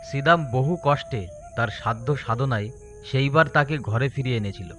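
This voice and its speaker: A man's voice: mid-range voice, a bit bright. A man narrating a scripted story as a storyteller.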